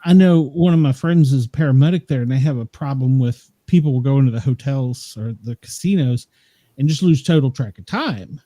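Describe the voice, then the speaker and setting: A man's voice: medium pitch, a little fast, mellow, no echo. Chatty fifties man, dry close sound. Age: 50s. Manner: rambling, chatty, laughs at himself. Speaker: podcast co-host. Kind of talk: casual chat.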